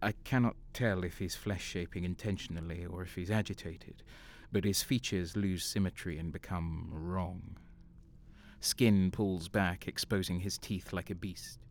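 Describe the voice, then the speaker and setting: A man's voice: low-pitched, steady tone, unhurried, a little hiss or hum. A man playing a bitter traitor guardsman who narrates his own story in a grim, hard voice in a fan audio drama. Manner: grim inner narration, heated in arguments. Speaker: narrator and lead character.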